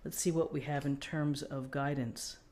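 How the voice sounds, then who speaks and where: A woman giving an unscripted tarot reading, explaining things in a gently encouraging manner. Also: low voice, fairly even intonation, moderate pace. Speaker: tarot reader.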